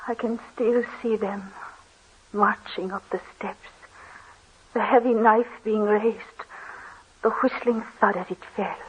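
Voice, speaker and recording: A woman's voice: medium pitch, slight background noise, natural voice. An actress playing a frail, grieving young French aristocrat woman in an old-time radio drama. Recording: dull lo-fi old radio recording.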